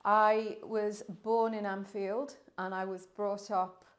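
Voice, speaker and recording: Flat-voiced Liverpudlian woman. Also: mid-range voice. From Liverpool, England. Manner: self-correcting, deliberate. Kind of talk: documentary interview.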